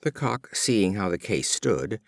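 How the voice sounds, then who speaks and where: Low-pitched man narrating fables with lively intonation. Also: measured pace, vocal fry, dry recording, studio quality. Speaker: audiobook reader. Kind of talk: scripted storybook narration of fables.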